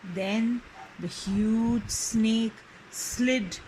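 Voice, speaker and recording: A woman storyteller reading a children's book expressively and deliberately, phrase by phrase. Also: fairly high pitch, darkish tone, slight background noise, low-quality recording. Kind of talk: expressive scripted storybook narration.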